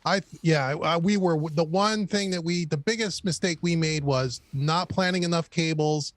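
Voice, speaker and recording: A man's voice: on the high side, normal speed, no room sound. A man guesting on a casual live-stream chat, rambling through wry stories with lots of repeats. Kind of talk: casual conversation.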